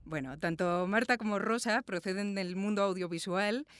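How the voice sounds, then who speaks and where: A woman's voice: mid-range voice, normal speed, clear, resonant tone, no room sound. A woman hosting a podcast interview, effusive and chatty. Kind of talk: warm podcast presenting and interviewing. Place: small sound booth.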